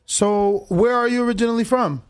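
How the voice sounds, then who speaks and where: A man from New Jersey hosts a laid-back interview. His voice is high for a man, and he jumps into eager, conversational questions. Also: brisk, clean tone. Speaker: interview host. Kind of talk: casual interview.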